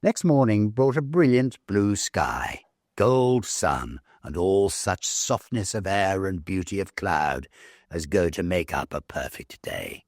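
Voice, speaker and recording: A British man reading a story aloud in an expressive, theatrical, measured literary narration style. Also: low voice, no echo, clean studio sound. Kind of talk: expressive dramatic audiobook narration.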